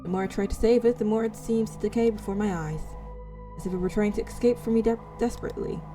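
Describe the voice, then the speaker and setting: Woman, mid-pitched voice, dramatic halting read-aloud, casual game commentary, music behind. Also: mellow. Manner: dramatic reading, halting over words. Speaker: visual novel player. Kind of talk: reading game text aloud with casual reactions.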